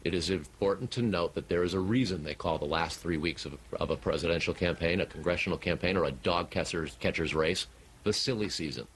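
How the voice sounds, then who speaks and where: Male TV correspondent, American, lower register, deliberate and emphatic live report. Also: slight background noise, rough recording. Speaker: TV news correspondent. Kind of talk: live broadcast news report.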